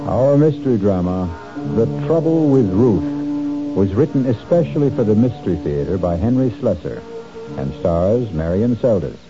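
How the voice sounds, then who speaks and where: A male host with a lowish voice that rises and falls in a lively way as he narrates in measured, dramatic tones. Music plays behind him, and the sound is dull and lo-fi like a 1970s radio broadcast. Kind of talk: scripted narration.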